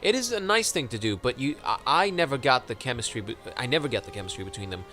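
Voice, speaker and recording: A man chats casually on a film podcast, circling his points and filling gaps with hesitations. His voice is bright and forward, and faint music sits behind him. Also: mid-range voice, animated, fast talker. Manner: rambling, hesitant with many fillers. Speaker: podcast co-host. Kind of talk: casual chat about films.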